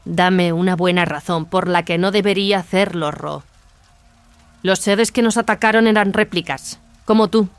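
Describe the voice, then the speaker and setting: A woman playing a stern, commanding warrior sister in an audio drama, speaking with firm, measured authority. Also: lowish voice, smooth, natural voice. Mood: cold, suspicious. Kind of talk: dramatized audio fiction acting. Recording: music behind the voice.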